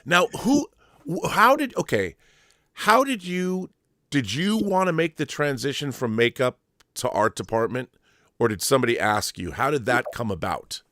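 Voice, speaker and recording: A man's voice: mid-range voice, very animated, normal speed, a bit bright, dry recording, clean studio sound. A man hosting a casual interview, eager and fannish, jumping from one thought to the next. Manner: eager, fan-like, jumps between thoughts. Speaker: interview host. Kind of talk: casual chat.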